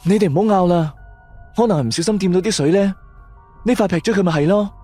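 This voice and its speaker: A man acts out a young woman in a radio drama. The voice sits high and soft-toned, and the words come fast. The manner is calm and placating. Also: faint music behind. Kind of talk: Cantonese radio drama character dialogue.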